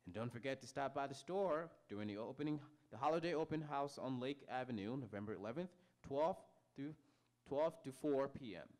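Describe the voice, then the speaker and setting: A man's voice: mid-range voice, expressive, fairly quick, a little mellow. A man reading a scripted radio sponsor advertisement as announcer, occasionally stumbling over the copy. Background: music.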